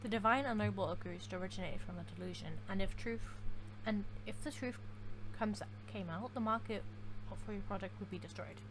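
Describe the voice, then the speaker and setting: A woman's voice: mid-range voice, a little fast, noisy background. A woman streaming a game, reacting casually to the story.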